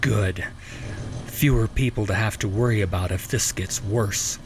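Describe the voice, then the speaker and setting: An actor playing a grave, shaken but determined scientist with halting delivery in an audio drama. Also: male voice, fairly low pitch, background noise. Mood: grim resolve. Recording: loud background ambience under voice.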